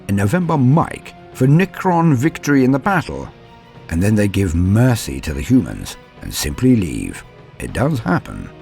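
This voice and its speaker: A man hosting a lore guide, reading scripted narration in a theatrical, measured and formal manner. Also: lowish voice, vocal fry, darkish tone. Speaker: host of a Warhammer lore guide.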